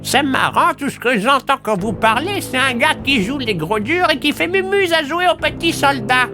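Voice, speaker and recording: A woman's voice: high-pitched, expressive, moderate pace, pushed and bright, faint music behind. A woman playing a sarcastic, defiant old bar keeper in an audio drama. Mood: mocking, defiant. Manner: sarcastic, taunting.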